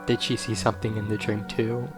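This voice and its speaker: A man's voice: fairly low pitch, flat delivery, brisk. A man making casual, offhand comments as he streams a game. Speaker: gameplay streamer. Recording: loud game music over voice. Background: game music.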